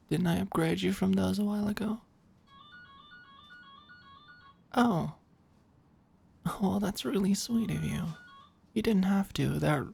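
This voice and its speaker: A performer of unclear sex playing a flirty, overworked office manager in an intimate ASMR roleplay, with a halting, teasing murmur. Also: mid-range voice, vocal fry, dark tone, husky, full character voice. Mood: touched, flustered.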